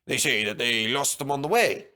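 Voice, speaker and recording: A man's voice: mid-range voice, expressive, normal speed, pushed and bright. A man voicing an obliging railway inspector in a children's story, polite and deferential. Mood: apologetic.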